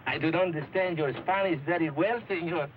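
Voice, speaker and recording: An actor playing a genial, self-important Mexican villager with an accent, mixing broken English and Spanish in an old-time radio drama. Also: male voice, on the high side, a little fast, natural voice. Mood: jovial. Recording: noisy, dull old radio recording.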